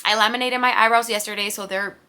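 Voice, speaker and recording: Bright-voiced chatty woman in her twenties. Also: medium pitch, fairly even intonation, quick pace, clean tone. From Puerto Rico. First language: Spanish. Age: 20s. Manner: chatty and rambling, with giggles. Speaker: vlogger. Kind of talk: casual unscripted talk to camera. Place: home. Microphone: camera mic.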